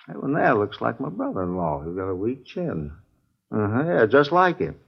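A folksy, rambling store manager from old radio drama. The voice is creaky and swings widely in pitch, on a muffled, faintly noisy recording. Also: male voice, wildly swooping pitch, normal speed, exaggerated voice. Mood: musing. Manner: rambling, musing, chatty. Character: talkative, folksy male grocery store manager, slow-witted witness. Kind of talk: 1950s radio drama character acting.

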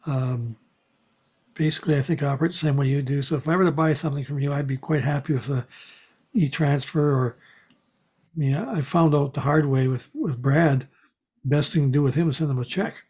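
A woman with a husky voice in casual conversation, telling things in a measured, rambling way. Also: deep voice, steady tone, darkish tone, lo-fi sound. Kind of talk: casual chat.

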